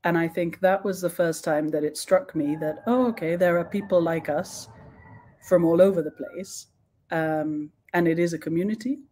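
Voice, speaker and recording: Young woman guest speaker, low-ish warm voice, reflective and unhurried. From born in Sweden, raised in several countries. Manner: thoughtful, with frequent ums and restarts. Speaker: guest expert. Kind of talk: online panel talk.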